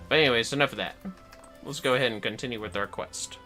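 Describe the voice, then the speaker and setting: A man's voice: medium pitch, fast talker, sharp tone, soft background music. A man hosting a video game livestream with casual, playful chatter and muttered asides to the game. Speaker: livestream host playing an online video game. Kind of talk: casual gaming livestream chat.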